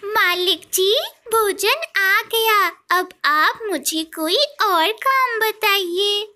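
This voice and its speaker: Cartoon sparrow, female, played by a woman: very high and bright, sweet, gushing, eager to serve. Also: very slow, heavily acted. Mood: eager, obedient. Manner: gushing, deferential. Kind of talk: Hindi cartoon story voice acting.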